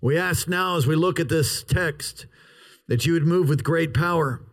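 Man, pastor, outdoor parking-lot sermon, high voice, dramatic pauses. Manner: emphatic, dramatic drawn-out pauses. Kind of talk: sermon with opening prayer.

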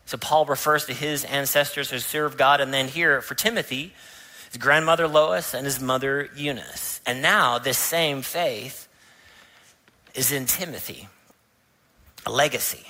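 A male pastor preaching in a church, with a bright, clear-toned voice at a middling pitch. He tells stories in a conversational way and builds to emphatic points. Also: a little slow, poor audio. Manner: storytelling, rising to emphatic preaching. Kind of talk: sermon. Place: church sanctuary.

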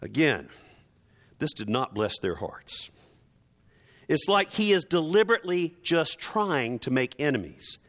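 Guy preaching a sermon, really animated and forceful, theatrical with dry sarcastic asides. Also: a little high. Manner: emphatic, theatrical delivery with deliberate repetition for effect. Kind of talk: dramatic, rhetorical Palm Sunday sermon.

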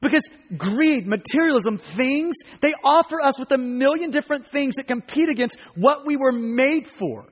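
A man's voice: very high-pitched, lively intonation, normal speed. An American man preaching a sermon to a congregation in an earnest, emphatic manner, repeating phrases for weight. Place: large reverberant room, live before a congregation. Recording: long hall reverb.

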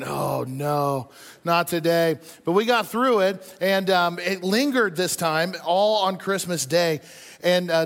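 High-voiced male pastor in an echoey hall. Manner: informal, repeats phrases for emphasis. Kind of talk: conversational sermon to a congregation. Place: large indoor church hall. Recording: echoey hall with long reverb.

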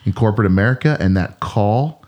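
A man's voice: lowish voice, animated, fairly quick, darkish tone. A man who is a pastor, hosting a casual podcast in a playful, rambling way and laughing often.

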